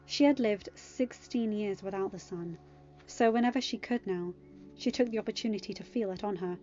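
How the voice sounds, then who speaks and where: A woman's voice: mid-range voice, normal speed, darkish tone, highs cut off, faint music behind. A woman narrating a scripted piece of fiction as an audiobook reader.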